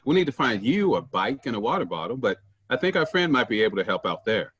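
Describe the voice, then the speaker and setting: A man's voice: medium pitch, sing-song, fast, a bit bright, slightly rough. A man playing an easygoing, helpful member of a travelling theater troupe in a staged play reading.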